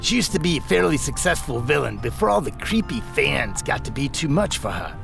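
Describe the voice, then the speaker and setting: Gruff, animated older henchman boss. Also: mid-range voice, fairly quick, faint music behind, full character voice. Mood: grumbling. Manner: sardonic, slangy, grumbling. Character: gruff older male henchman supervisor, grouchy, streetwise. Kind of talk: audio drama acting.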